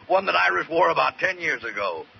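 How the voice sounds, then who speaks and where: A hearty scheming husband, swooping delivery. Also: male voice, on the high side, animated, fairly quick, vocal fry. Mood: conspiratorial. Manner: hearty, conspiratorial. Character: scheming, jovial middle-aged married businessman. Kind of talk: old-time radio sitcom acting. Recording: muffled, noisy vintage radio recording.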